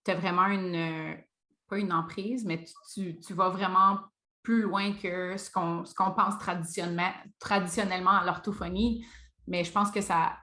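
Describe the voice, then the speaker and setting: A woman's voice: fairly low pitch, sharp tone. A woman hosting an interview as a speech-language pathologist, encouraging and reflective, sometimes searching for her words, on a video call. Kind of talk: conversational interview.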